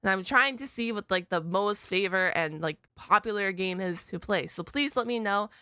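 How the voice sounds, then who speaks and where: A woman hosting a reaction video in a casual, chatty style, expressive and quick to interject. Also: medium pitch, clean tone, no room sound, clean studio sound. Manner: expressive, exclaims and interjects often. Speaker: host of a reaction channel.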